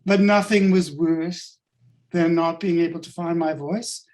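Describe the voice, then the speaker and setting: Higher-voiced man with a South African accent, workshop leader, halting and hesitant delivery. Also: a little mellow. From Canada. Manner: halting, hesitant, many fillers and restarts. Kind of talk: personal account in group discussion.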